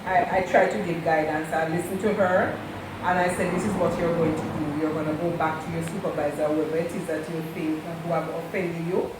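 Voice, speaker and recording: A middle-aged woman tells family stories at a steady, measured pace and stops now and then to hunt for a word. She sounds set back from the mic in a very echoey room. A steady hum of background noise runs under her voice. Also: deep voice, far from the mic. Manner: measured, searches for words. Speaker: mother. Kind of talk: casual storytelling.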